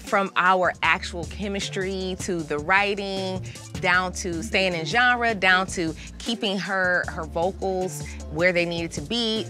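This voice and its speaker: American woman judging, music behind her. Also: on the low side. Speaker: judge of a comedy challenge. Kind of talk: casual feedback and verdicts to contestants. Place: podcast studio, indoors.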